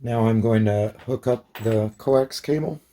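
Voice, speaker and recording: A man's voice: on the low side, measured pace, warm tone. A man giving a hands-on tutorial, explaining each step plainly as a hobbyist presenter.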